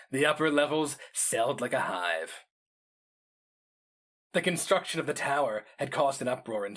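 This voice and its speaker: A man giving a dramatic literary reading of fantasy, solemn and measured, with deliberate pauses and theatrical weight. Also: mid-range voice, a bit bright. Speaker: story narrator.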